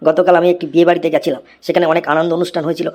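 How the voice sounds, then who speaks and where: A man's voice: on the high side, little pitch movement, rapid-fire, a little mellow, lightly acted. A man voicing a cheeky, unflappable schoolboy in a comedy cartoon, reciting essays by rote. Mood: earnest reciting.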